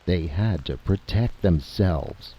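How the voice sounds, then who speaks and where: A man voicing an exasperated, forceful general who argues emphatically and impatiently in a story reading, on a dull, noisy recording. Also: low voice, takes it slowly, pitched above the performer's own voice. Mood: indignant. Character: exasperated, forceful male military general arguing his case. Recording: dull lo-fi audio with steady hiss.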